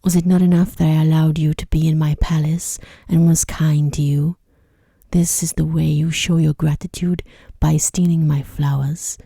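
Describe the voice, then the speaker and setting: A stern male beast from a bedtime fairy tale, voiced by a woman. The voice is very mellow and hushed, with a breathy grain and a very dry, close sound. It gives grim, angry commands softly. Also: deep voice, little pitch movement, normal speed, no room sound, exaggerated voice. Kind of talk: bedtime storybook reading.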